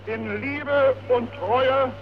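A man's voice: very high voice, fairly even intonation, extremely slow, full character voice. An actor playing a boy who solemnly recites an oath in a radio drama. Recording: very noisy, dull radio-like sound.

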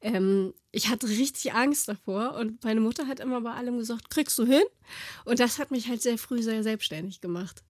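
A woman's voice: on the high side, moderate pace, a little mellow, no echo. Woman, forties, interview guest, chatty, lots of ums, dry sound. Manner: chatty, many fillers, laughs while telling stories. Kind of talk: casual interview chat.

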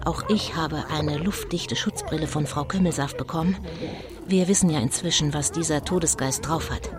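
An actress playing a suspenseful live reporter commentating on a ghost hunt in a children's audio drama. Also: female voice, sits low, normal speed, crowd noise, natural voice. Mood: tense. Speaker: on-scene reporter. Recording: loud suspense music underneath.

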